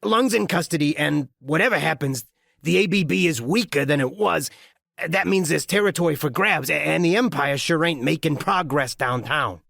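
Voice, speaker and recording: A man's voice: fairly high pitch, normal speed, vocal fry, fairly bright tone, no echo, clean studio sound, voice pushed up. A man voicing an injured skinhead gang thug, crude and grudging, with a strained, gasping delivery in an audiobook. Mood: grudging informing. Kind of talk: audiobook character acting.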